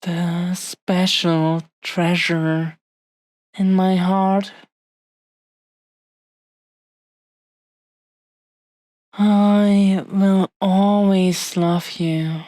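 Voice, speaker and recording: A woman playing an adoring, possessive dragon-blooded fiancée in an intimate romantic roleplay audio, speaking soothingly with lingering pauses. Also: mid-range voice, mellow, dry recording. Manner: slow, lingering, soothing, affectionate delivery with long pauses.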